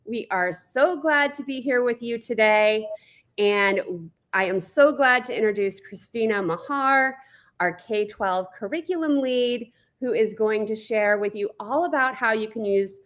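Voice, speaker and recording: A woman's voice: fairly high pitch, fairly bright tone. A woman hosting a webinar, welcoming the audience and introducing a guest speaker over a computer mic. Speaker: webinar host.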